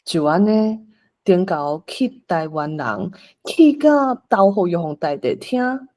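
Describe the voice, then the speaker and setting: A woman's voice: on the low side, sing-song, slow, dark tone. A woman with a Taiwanese accent narrating a scripted folk tale as storyteller.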